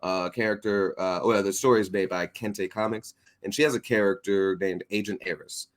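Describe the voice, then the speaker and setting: Guy hosting a casual chat show, lowish voice, kinda thinking out loud and stopping and starting. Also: steady tone. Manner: informal, thinks out loud, halting. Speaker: host of a comics breakdown show.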